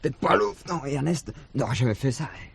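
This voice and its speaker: Gravelly, agitated village lad. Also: male voice, medium pitch, animated, fairly quick, full character voice. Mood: urgent, alarmed. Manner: urgent, slangy, colloquial. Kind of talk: French drama acting.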